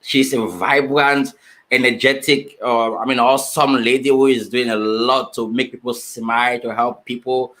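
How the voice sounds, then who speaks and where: A man's voice: mid-range voice, fairly even intonation, moderate pace, a bit bright. A man hosting an online interview show, friendly and prone to repeating words and restarting. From Finland. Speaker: show host.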